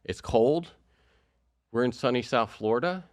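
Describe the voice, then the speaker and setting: A man's voice: medium pitch, expressive, unhurried, no echo. A man hosting a podcast interview, chatty and rambling with plenty of fillers. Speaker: podcast host.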